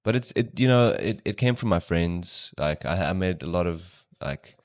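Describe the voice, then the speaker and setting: A man's voice: sits low, lively intonation, moderate pace, a little mellow, dry recording, studio quality. A young man hosting a podcast, an Afrikaans speaker. He talks in a laid-back, slangy way, saying dude and bro. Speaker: podcast host. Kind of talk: casual interview chat.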